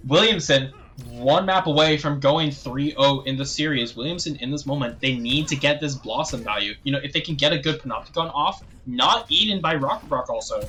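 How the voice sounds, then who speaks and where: A young man casting a live esports match play-by-play, slightly hoarse and thirsty, clearing his throat now and then. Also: on the high side. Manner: rapid play-by-play, rising excitement on big plays, frequent throat clearing. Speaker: esports caster.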